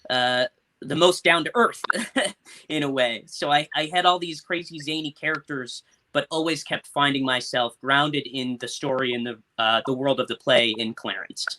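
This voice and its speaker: A man's voice: mid-range voice, sharp tone. A young man, an actor, answering interview questions casually over a video call. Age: young adult. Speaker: stage actor and Foley performer. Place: indoors in a room at home, on a video call. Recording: clicky video call with faint noise.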